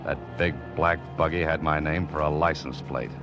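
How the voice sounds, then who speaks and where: A deep-voiced hard-boiled detective. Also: male voice, fairly even intonation, normal speed, muffled recording, over music. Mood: tense. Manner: terse, wry, clipped narration. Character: hard-boiled male private eye narrator. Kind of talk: 1940s radio acting.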